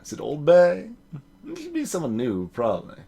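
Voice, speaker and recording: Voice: medium pitch, huge pitch swings, normal speed, vocal fry, mellow, breathy edge. A let's player thinking aloud and muttering asides while playing and voicing a video game. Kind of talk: gaming let's play commentary, reading game dialogue aloud.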